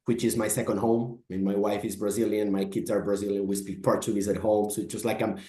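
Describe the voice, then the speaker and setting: A man's voice: on the low side, flat delivery, quick pace, warm tone, roomy sound. A middle-aged man from Spain, a business advisor, introducing himself in an online meeting, often stammering and repeating words. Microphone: video call.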